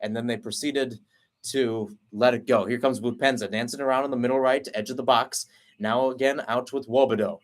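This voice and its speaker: A man's voice: medium pitch, fairly even intonation. A man giving a live play-by-play call of a soccer match, rattling off player names and lifting his voice when the play heats up. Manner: rapid running call, rising on chances. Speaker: play-by-play commentator.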